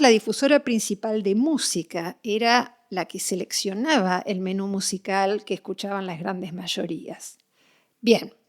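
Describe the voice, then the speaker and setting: A woman with an Argentine accent from Buenos Aires speaks in a fairly high voice. She hosts an interview, laying out context in prepared but conversational sentences and checking in with the listener as she explains. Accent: Argentine Spanish, Buenos Aires. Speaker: podcast host and interviewer.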